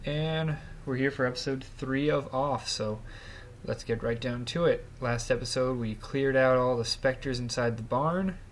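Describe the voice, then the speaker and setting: A young man with a starting cold, a bit sniffly, chatting easygoingly as he hosts a game playthrough from a small quiet closet. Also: mid-range voice, a little slow, slight background noise. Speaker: let's play host. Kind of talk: casual gameplay commentary. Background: faint swing game music.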